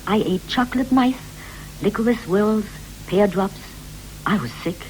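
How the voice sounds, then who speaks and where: An actress playing a wistful wife who recalls her girlhood in measured, echoing short phrases, in the style of a poetic 1960s radio play. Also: mid-range voice, animated, darkish tone, natural voice. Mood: prim, girlish reminiscence. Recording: vintage 1960s radio recording with hum and hiss.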